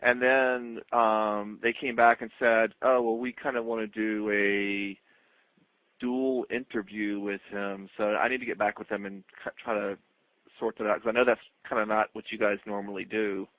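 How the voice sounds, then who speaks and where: A man's voice: lowish voice, level tone, moderate pace, no room sound. An American man, a federal agent, chatting casually with colleagues on a work conference call over the telephone. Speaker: FBI agent. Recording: dry, tinny phone conference line.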